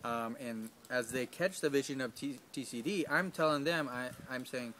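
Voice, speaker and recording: A man's voice: mid-range voice, moderate pace, a bit bright, a little hiss or hum. Hesitant man talking outdoors. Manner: hesitant, many restarts and ums. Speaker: field staff. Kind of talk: casual interview answers. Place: garden outdoors.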